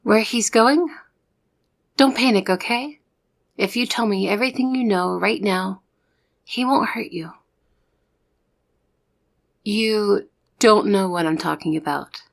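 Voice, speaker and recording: A woman's voice: mid-range voice, slow, vocal fry, pauses often. A woman playing a young trainee secret agent in an audio roleplay, the good cop who reasons and coaxes. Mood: coaxing, stern. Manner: persuasive, reasoning, exasperated with partner. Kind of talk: ASMR roleplay audio drama.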